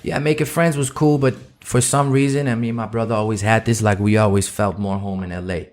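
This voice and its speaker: A man's voice: fairly low pitch, fairly even intonation, fairly quick. A man raised in the Netherlands whose first language is Dutch, telling stories in a rambling, laid-back way as a podcast guest. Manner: laid-back, rambling storytelling with many fillers. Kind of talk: casual interview chat.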